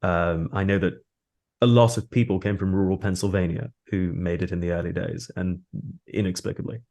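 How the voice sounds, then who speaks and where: A man's voice: low voice, steady tone, normal speed, darkish tone. A man from Australia with a posh British accent and little nasal sound, chatting discursively and with dry politeness as a voice actor in an interview. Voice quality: weak nasals. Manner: wordy, discursive, politely wry. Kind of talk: casual interview chat.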